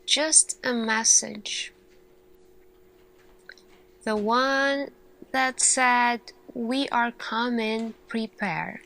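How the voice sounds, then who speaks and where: Very slow-speaking woman, earnest scientist roleplay. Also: on the high side, fairly even intonation, a bit bright, poor audio. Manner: deliberate, dramatic pauses between short phrases. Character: earnest female lead scientist addressing her team. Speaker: ASMR roleplay performer. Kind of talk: slow ASMR roleplay monologue.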